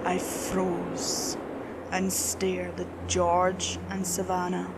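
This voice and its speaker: A woman's voice: lowish voice, monotone, extremely slow, very noisy. A woman reading a scripted horror story as its narrator.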